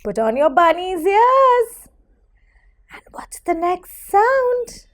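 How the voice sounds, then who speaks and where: A woman teacher leading a phonics lesson for young children, enunciating deliberately and giving encouraging prompts and praise. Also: very high-pitched. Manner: animated, encouraging, deliberate enunciation with repeated call-and-response questions.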